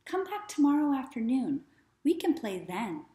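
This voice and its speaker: A woman's voice: sits high, big pitch swings, normal speed, dark tone, voice pushed up. A woman voicing a sleepy young boy in a storybook, a bit grumpy but helpful and matter-of-fact. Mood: drowsy protest. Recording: echoey living room.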